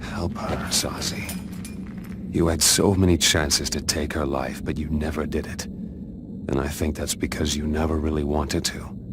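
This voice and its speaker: A man acting a stoic warrior in a video game, speaking in a measured, earnest and resolute way. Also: extremely low pitch, level tone. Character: deep-voiced stoic male warrior urging his comrade on. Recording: clear background noise and ambience.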